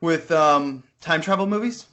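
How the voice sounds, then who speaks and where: Guy on a movie podcast, bright slightly high voice, wry and informal, skype call. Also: a little slow. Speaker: podcast co-host reviewing films. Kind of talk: casual film discussion. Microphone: internet voice call.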